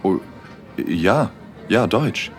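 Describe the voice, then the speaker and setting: A young romantic man in an intimate audio drama, dreamy and a little shy. His voice is very low, telling the story in the first person over music. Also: animated, very slow. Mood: shy, flustered.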